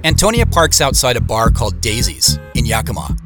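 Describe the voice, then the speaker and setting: A man's voice: mid-range voice, very animated, fairly quick. A man narrating a scripted audio drama in the present tense. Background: music.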